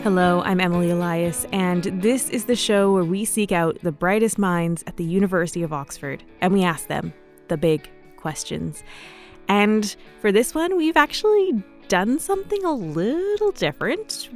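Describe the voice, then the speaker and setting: A woman's voice: medium pitch, animated, normal speed, faint music behind. A woman presenting a podcast, reading scripted narration as its host.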